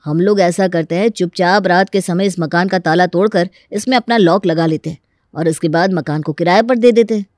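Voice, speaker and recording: A woman's voice: fairly low pitch, fairly quick, darkish tone, no room sound, studio quality, lightly acted. Woman voicing a bold scheming young family man. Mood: scheming, persuasive. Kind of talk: Hindi animated story dialogue.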